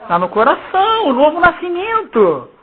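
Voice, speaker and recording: A preacher with a Carioca Brazilian accent and a husky voice expounds the Bible informally, emphatic and mocking, throwing slangy rhetorical questions at listeners. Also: very high-pitched, wildly swooping pitch, moderate pace. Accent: Carioca Brazilian Portuguese. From Rio de Janeiro, Brazil. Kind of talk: informal Bible exposition, reading verses and commenting. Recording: muffled, phone-like lo-fi sound.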